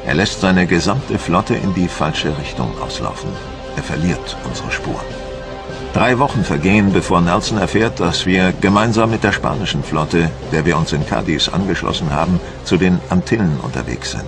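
Deep voiced brooding french admiral, formal and measured, audio drama w music behind. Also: male voice, animated. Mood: wary, grave. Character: wary French admiral of the Napoleonic wars, brooding, proud. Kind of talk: first-person dramatised documentary narration.

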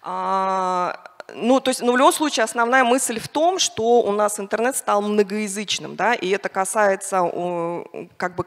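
A middle-aged woman speaking on a conference panel into a handheld mic, hesitant with many fillers and restarts. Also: medium pitch. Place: large conference hall on stage. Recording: reverberant conference hall.

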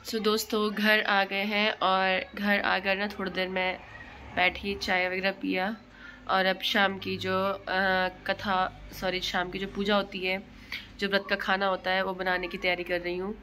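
A woman in her thirties vlogging casually to her phone camera at home, chatty and addressing viewers as friends, with a hoarse throat from a cold. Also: mid-range voice, monotone, unhurried, bright, forceful tone, slight background noise. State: hoarse throat, feeling unwell. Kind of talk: casual vlog narration to camera. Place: home kitchen and living room, indoors. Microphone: phone at arm's length.

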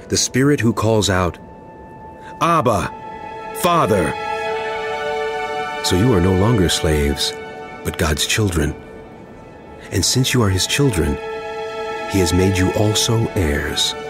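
An actor playing an earnest male apostle who pleads with and admonishes his flock, impassioned and deliberate, in a dramatized scripture reading. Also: low-pitched. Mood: solemn. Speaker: actor in a dramatized audio Bible. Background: music.

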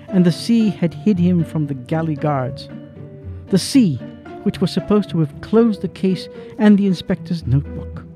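A man narrating a drama from a script, with measured, solemn storytelling. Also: high voice, mellow.

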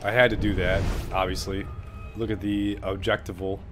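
A man's voice: on the low side, level tone, moderate pace, over music. A young man with a stuffy nose giving casual, profane let's-play commentary, rambling and taunting enemies on screen. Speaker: gameplay commentator.

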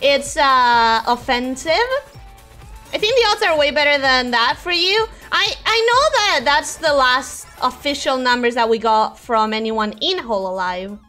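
High, lively woman streamer, native Spanish speaker. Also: measured pace, faint music behind. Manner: animated, expressive, exclaiming. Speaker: game streamer. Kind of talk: casual livestream chat over gameplay.

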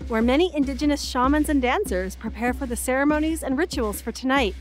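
Actress as earnest female field reporter, higher voice. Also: fast, faint music behind, close to an everyday voice. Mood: earnest, announcing. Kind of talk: audio drama, recorded field report.

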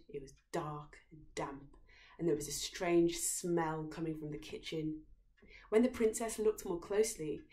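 Female storyteller, young adult, slightly low pitch, reading a fairy tale aloud at home. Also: mellow. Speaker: story reader. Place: living room at home, on a sofa.

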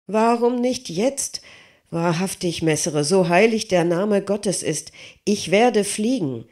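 A reader voicing a clumsy, childlike giant blacksmith who pleads loudly and desperately with his master. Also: mid-range voice, expressive, slow pace, pitched above the performer's own voice. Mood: stubborn. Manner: raised, loud, desperate pleading.

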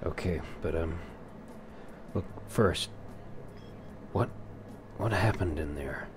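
A man roleplaying a bewildered, wary newly turned vampire over a video game, speaking in hesitant, halting phrases. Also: sits low, noisy background. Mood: wary, confused. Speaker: gameplay roleplayer. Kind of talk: improvised roleplay over gameplay.

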